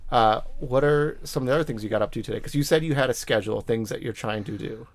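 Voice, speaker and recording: A man's voice: medium pitch, quick pace. Encouraging Boston-accented man chatting. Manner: encouraging, coaching tone with frequent fillers. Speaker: podcast host. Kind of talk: casual family chat.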